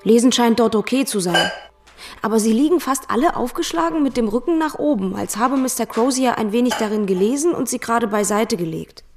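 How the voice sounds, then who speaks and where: A woman's voice: a little high, expressive, a little fast, soft background music. An actress playing a bookish, inquisitive girl, narrating and speaking in a radio drama. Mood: observant. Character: bookish, inquisitive girl recalling events as they happen.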